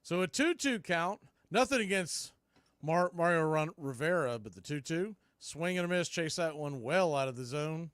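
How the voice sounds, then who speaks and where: A man announces a baseball game live in a slightly high voice. The sound is dry and close. He gives clipped pitch calls that trail off, filling the gaps with player trivia. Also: expressive, moderate pace, fairly bright tone, clear, resonant tone, no echo. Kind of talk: live play-by-play commentary mixed with trivia.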